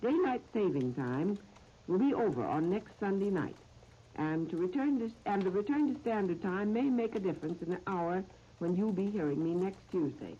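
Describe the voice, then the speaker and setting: An American woman presenting a scripted 1930s radio cooking demonstration in a measured, instructional, carefully phrased manner. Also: medium pitch, lively intonation, warm tone, slightly rough. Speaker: cooking show host. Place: radio studio. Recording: dull, noisy 1930s radio disc recording.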